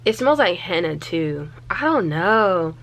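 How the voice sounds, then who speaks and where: A woman's voice: lowish voice, big pitch swings, takes it slowly. A young woman in her twenties, chatting casually to camera in her small bedroom, candid and loose with plenty of fillers. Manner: chatty, candid, lots of fillers and trailing thoughts. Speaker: vlogger. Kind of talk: casual vlog talk to camera.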